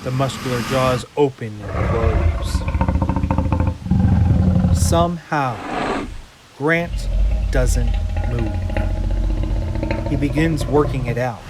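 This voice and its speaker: A man giving a scripted, measured, dramatic narration of action scenes in the present tense. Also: mid-range voice, heavy background noise.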